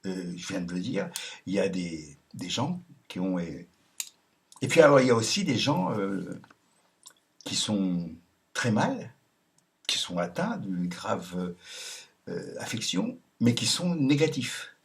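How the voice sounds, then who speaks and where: Sardonic lowish-voiced man, chuckling often. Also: big pitch swings, slow pace, long pauses. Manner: hesitant, many ums and restarts. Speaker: video commentator. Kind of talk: casual monologue, reading viewers' messages aloud.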